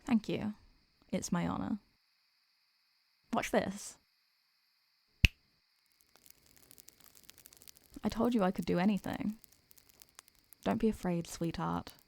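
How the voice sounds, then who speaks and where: A woman playing an ancient, doting succubus in an intimate roleplay audio, speaking softly and gently in an affectionate, unhurried way. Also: medium pitch, gravelly, no room sound, low-quality recording. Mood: tender, soothing.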